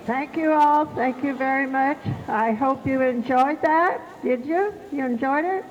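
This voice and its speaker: A gracious woman moderator with a Southern Indiana accent thanks the guests and introduces the next speaker. Her voice is a touch high. The sound is a little muffled, with a long hall reverb and faint noise. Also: measured pace. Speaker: event moderator. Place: banquet hall.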